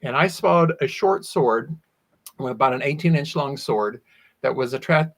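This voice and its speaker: A man's voice: mid-range voice, fairly bright tone. A man talking at length as an interview guest, telling personal anecdotes and often acting out his own past words. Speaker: guest, sword swallower. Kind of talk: animated anecdotal interview storytelling.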